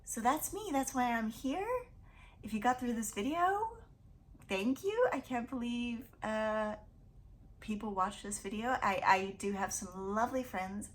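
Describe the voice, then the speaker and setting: A woman's voice: on the high side, lively intonation, measured pace. Chatty woman in her thirties vlogging. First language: English. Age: 30s. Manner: chatty and rambling, with self-deprecating laughs. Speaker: YouTube book channel host. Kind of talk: casual to-camera vlog chat.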